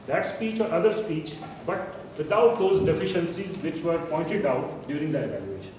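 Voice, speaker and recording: A man's voice: on the high side, animated, moderate pace, a little mellow, recorded from a distance. Meeting chair, man, instructive, noisy echoey room. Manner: instructive, step by step. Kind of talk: explaining meeting rules.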